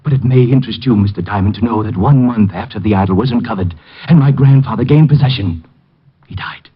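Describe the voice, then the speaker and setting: An actor playing a frightened, paranoid heir, agitated, stammering and pleading, in old radio drama style. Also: male voice, mid-range voice, quick pace, slightly rough, lightly acted. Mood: ominous, intense. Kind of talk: 1940s radio drama acting. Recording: dull vintage radio recording.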